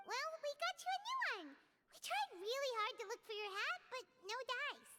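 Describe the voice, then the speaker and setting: A woman voicing a small, chirpy, childlike fairy companion in a video game, squeaky, bubbly and blunt. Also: very animated, normal speed, heavy background noise. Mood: excited, friendly. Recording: game music behind the voice.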